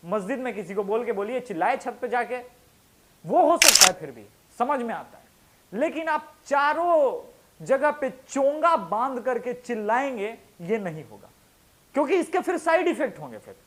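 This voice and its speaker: Male political commentator, very high register, highly animated delivery, combative and colloquial, built on emphatic rhetorical questions. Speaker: show host, political commentator. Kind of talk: impassioned opinion monologue.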